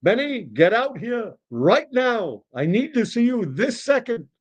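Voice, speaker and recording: A man's voice: very high-pitched, big pitch swings, a little slow, slightly rough, voice pushed up, heavily acted. A man playing a stubborn, demanding old male deli customer in a joke, insisting and repeating himself demonstratively. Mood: insistent.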